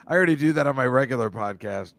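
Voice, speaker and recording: A man's voice: medium pitch, creaky, fairly bright tone, dry recording. A man, a comedian and film teacher, rambling in casual podcast chat and slipping into movie bits. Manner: rambling, slips into movie impressions.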